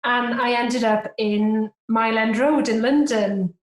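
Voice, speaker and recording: A woman's voice: on the high side, fairly even intonation, measured pace. A Welsh woman in her forties, a native Welsh speaker from Cardiff, chatting casually as a podcast guest in a self-deprecating way with lots of fillers. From Cardiff, Wales. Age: 40s. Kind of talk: casual interview chat. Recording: roomy echo.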